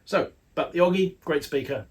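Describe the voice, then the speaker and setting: A man's voice: a little high, moderate pace. A man, an audio magazine editor, giving a casual spoken product review in a chatty, rambling way with playful asides.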